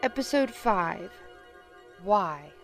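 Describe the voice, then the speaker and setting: A woman's voice: medium pitch, animated, extremely slow, loud music behind the voice. A woman announcer reading scripted opening credits.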